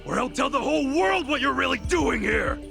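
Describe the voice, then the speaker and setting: A man playing a gutsy, righteous, hot-headed low-ranking yakuza in a game cutscene, blunt and streetwise in his talk. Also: lively intonation, fairly quick, creaky, pushed and bright, faint music behind, exaggerated voice. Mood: outraged. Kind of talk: dramatic game cutscene voice acting.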